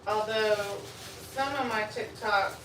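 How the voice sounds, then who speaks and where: A Texan woman chats loosely and frankly as she cooks breakfast on a live stream. She sits well back from the mic in a roomy kitchen, with a steady noisy hum behind her. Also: medium pitch, slow pace, recorded from a distance. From Texas. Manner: rambling and frank, talks while eating and cooking. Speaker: food vlogger. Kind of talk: casual live-stream chat while cooking.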